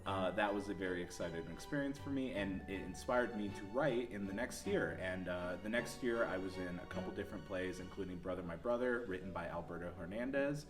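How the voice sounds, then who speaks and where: A man's voice: deep voice, fairly quick, few pauses, rough recording. A young adult man giving interview answers as a playwright and actor. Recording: music behind the voice. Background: background music.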